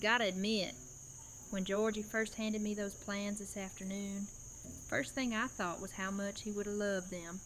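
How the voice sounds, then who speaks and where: A woman's voice: mid-range voice, fairly even intonation, moderate pace, fairly bright tone, slight background noise, natural voice. An actress voicing a grieving, determined woman leader who speaks firmly. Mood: resolute. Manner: firm, resolute.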